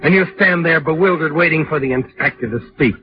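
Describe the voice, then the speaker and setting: An actor playing a sardonic, all-knowing narrator of a 1940s radio suspense show, speaking in a knowing, dramatic way. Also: male voice, fairly high pitch, a little fast, lightly acted. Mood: mocking, suspenseful. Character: sardonic omniscient male narrator needling the schemer. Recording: muffled old radio recording. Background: music.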